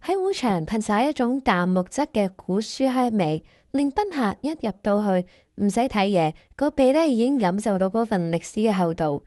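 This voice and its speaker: A woman's voice: mid-range voice, big pitch swings, normal speed, mellow, no room sound, studio quality, lightly acted. A woman playing an eager, anxious junior employee who also presents a comedic workplace radio drama. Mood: confident pitching.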